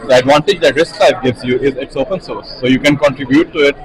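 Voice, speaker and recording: A man from Pakistan in his thirties explains his research in a calm, middle-pitched voice, often pausing on fillers as he thinks. The talk of a busy crowd carries on clearly behind him. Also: poor audio. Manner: thoughtful, many fillers. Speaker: interviewee, researcher. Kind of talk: casual conference interview.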